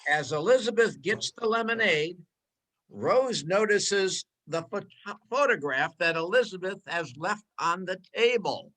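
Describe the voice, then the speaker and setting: High-pitched male radio play narrator. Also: expressive, unhurried, fairly bright tone, low-quality recording. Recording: faint background noise.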